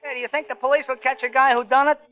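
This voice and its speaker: An actor playing a gossipy, cheeky young elevator operator with slangy chatty talk, in old-time radio drama style. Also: male voice, very high-pitched, little pitch movement, rapid-fire. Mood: nosy, cheeky. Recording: tinny old radio recording with music.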